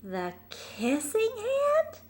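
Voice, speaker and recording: A woman's voice: very high-pitched, wildly swooping pitch, drawn-out words, darkish tone, voice pushed up, exaggerated voice. A woman reading a picture book aloud, voicing a young raccoon boy, tearful and reluctant, with a pleading, childlike manner. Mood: curious.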